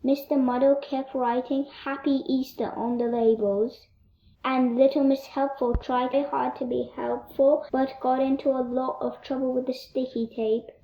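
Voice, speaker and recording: A woman's voice: sits high, level tone, moderate pace, roomy sound, highs cut off, a little hiss or hum. A woman reading a children's storybook aloud as narrator. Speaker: storybook reader.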